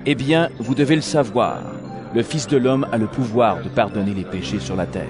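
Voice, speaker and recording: A solemn, authoritative holy man voiced by an actor in an audio Bible drama. He speaks firmly in a mid-range male voice, with music clearly playing behind him. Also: medium pitch, moderate pace, lightly acted. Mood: firm, challenging. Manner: firm, solemn, commanding. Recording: voice over clear background music.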